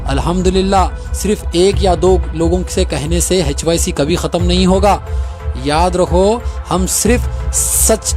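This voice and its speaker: A man with a Hyderabadi accent speaks in a somewhat high voice. He addresses his viewers emphatically and accusingly, repeating phrases for weight. Music plays behind him. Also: darkish tone. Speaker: host of a clarification video.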